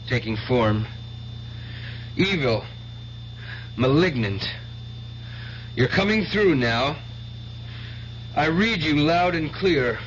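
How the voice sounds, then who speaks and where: A man's voice: mid-range voice, fairly bright tone. An actor playing a theatrical, pious and tormented mind reader with a declamatory showman's manner in a radio drama. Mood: menacing, righteous. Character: fanatical stage mind reader, tormented, pious, self-righteous killer. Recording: dull noisy old radio broadcast with hum.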